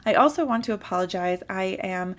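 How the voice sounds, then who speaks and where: A young adult woman with a slight sore throat hosting a card-making tutorial and calmly explaining each step. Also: medium pitch, a little fast. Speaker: papercraft tutorial host. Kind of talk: instructional voice-over for a crafting video.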